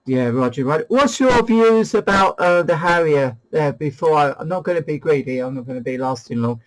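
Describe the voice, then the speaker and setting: A man's voice: on the high side, lively intonation, normal speed, slight background noise, low-quality recording. A British man hosting a CB radio video, chatting casually and hesitantly, often repeating his phrases. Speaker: host of a CB radio review video. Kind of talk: casual two-way radio chat.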